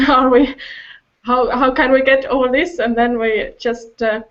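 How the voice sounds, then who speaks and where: Slow, halting, higher-voiced woman. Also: fairly even intonation, fairly bright tone, lo-fi sound. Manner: halting, searching for words. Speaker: podcast guest, improviser. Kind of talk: casual chat.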